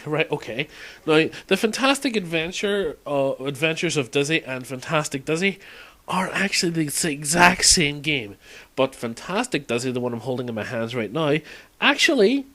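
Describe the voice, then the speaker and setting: A man's voice: on the high side, fairly bright tone. A man talking casually and unscripted as a game fan in an audio log, hesitating, rambling and correcting himself as he reminisces.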